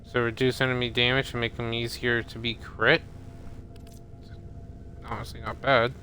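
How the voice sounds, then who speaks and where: A man's voice: medium pitch, moderate pace. A man streaming a video game, thinking aloud casually and muttering to himself as he reads from the screen. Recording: steady loud background noise.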